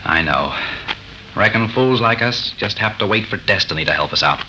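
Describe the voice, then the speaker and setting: A man's voice: lowish voice, animated, moderate pace, lightly acted. An actor playing a brave, protective, lovelorn frontier man with folksy Western phrasing in an old radio melodrama. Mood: bitter longing. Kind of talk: 1930s radio melodrama acting. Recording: noisy 1930s radio recording.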